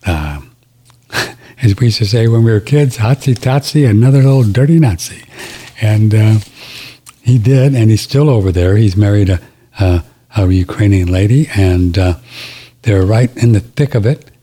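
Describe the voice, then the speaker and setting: A man's voice: fairly low pitch, normal speed, mellow, slightly rough. A man hosting a live talk radio show, rambling casually with many fillers.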